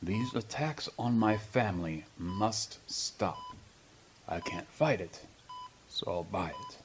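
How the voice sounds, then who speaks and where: A man playing an ailing, proud old politician in a radio drama, speaking in formal, pompous, measured phrases. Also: lowish voice, animated, slight background noise. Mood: scheming, determined.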